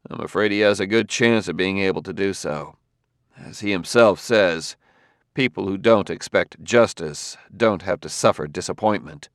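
A man's voice: low voice, normal speed, no echo, studio quality. A man voicing a weary, dogged male investigator in an audiobook novel, blunt and resigned yet earnest and tender. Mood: grim, frustrated.

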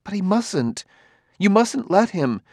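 A man's voice: high-pitched, very animated, slow pace, dry recording, clean studio sound, pitched above the performer's own voice, heavily acted. A man voicing an earnest, wounded, idealistic woman who pleads insistently in an audiobook novel. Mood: urgent pleading. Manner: earnest, insistent, breaking into hushed speech.

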